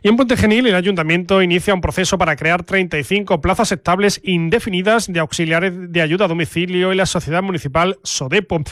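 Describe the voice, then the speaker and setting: A man's voice: sits high, brisk, few pauses, a bit bright, no room sound, studio quality, soft background music. A man, presenting local radio news from a script.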